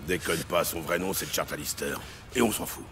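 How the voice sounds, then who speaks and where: An actor playing a gruff, bossy, streetwise corrupt police lieutenant in an audio drama. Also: male voice, deep voice, brisk. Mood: wary, brusque. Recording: faint city street and vehicle noise.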